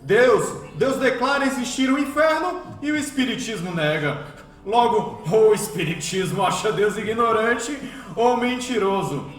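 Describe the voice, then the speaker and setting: Fiery high-pitched priest. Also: male voice, a little slow, echoey room, faint music behind. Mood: frantic, denouncing. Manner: frenetic, oratorical, emphatic repetition. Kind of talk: radio drama acting.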